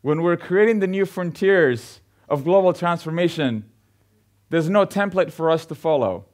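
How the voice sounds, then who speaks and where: A man, speaking from a conference stage on a lapel mic. His voice sits a little high for a man, and his delivery is earnest and measured, with frequent pauses and fillers as he addresses a large seated audience in the hall. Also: lively intonation, a little mellow. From Ethiopia. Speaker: CEO giving a keynote talk. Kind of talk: conference keynote speech.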